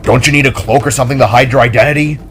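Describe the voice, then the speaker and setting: A man voicing a composed older brother figure who gives orders quickly. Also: mid-range voice, steady tone, soft background music, natural voice. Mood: commanding. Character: older brother, calm commanding cyborg man.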